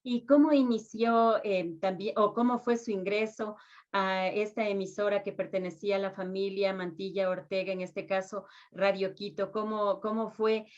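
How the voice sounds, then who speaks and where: A woman's voice: mid-range voice, steady tone, moderate pace. A woman asking interview questions conversationally, rephrasing tentatively as she goes. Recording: dull, lo-fi sound.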